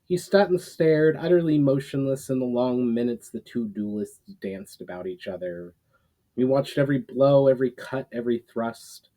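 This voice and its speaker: Man, author storyteller, soft mellow tone, average pitch, slightly halting reading. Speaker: author reading own fantasy novel aloud. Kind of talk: casual intro, then dramatic storytelling read aloud.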